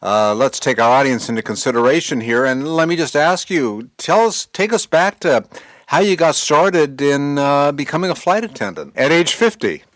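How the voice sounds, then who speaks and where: A man's voice: fairly high pitch, animated, normal speed, a bit bright, dry recording. A man in his sixties hosting a podcast interview in a conversational way. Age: 60s. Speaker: podcast host.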